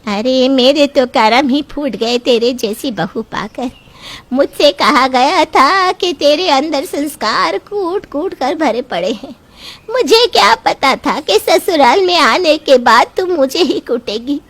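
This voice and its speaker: A woman voicing a sharp-tongued, scolding middle-aged mother-in-law in an animated story, with a sarcastic manner. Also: high voice, slow pace, exaggerated voice. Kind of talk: cartoon storybook voice acting.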